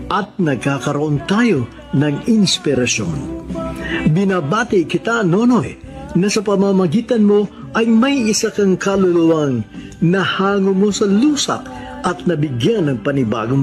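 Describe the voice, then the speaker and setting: Male Filipino drama narrator, solemn scripted delivery, widely swooping intonation, music bed underneath. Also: high voice. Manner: solemn, deliberate, dramatic phrasing. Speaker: radio drama host. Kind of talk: scripted moral narration and credits.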